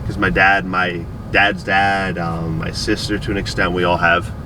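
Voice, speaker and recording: Deep nasally young man, car noise. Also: level tone, normal speed. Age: 20s. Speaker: companion. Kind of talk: casual chat. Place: inside a car.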